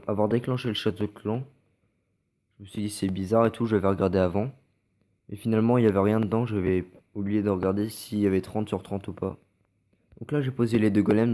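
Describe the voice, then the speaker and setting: A man's voice: on the low side, dark tone. A man with a French accent, stuffy from a cold, giving casual, hesitant commentary over recorded game matches with many fillers and restarts. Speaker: gameplay commentator.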